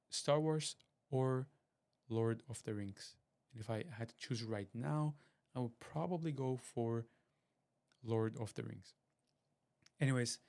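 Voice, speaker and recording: A man from Switzerland, hosting a podcast and chatting in a rambling way with lots of fillers and restarts. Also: mid-range voice. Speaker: podcast host. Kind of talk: casual solo podcast intro.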